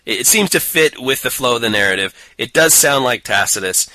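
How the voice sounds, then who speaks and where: A man's voice: medium pitch, expressive, a little fast, sharp tone, no room sound, rough recording. A man co-hosting a casual podcast, picking apart a book with a sarcastic, mocking manner and frequent laughter. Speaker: podcast co-host. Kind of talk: casual chat reviewing a book.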